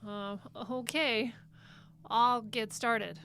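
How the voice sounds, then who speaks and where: An actress playing a weary, reluctant young care worker in an audio drama, with hesitant, understated replies. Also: female voice, a little high, fairly even intonation, extremely slow, fairly bright tone, a little hiss or hum, heavily acted. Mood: hesitant, polite. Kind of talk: live audio drama acting, naturalistic.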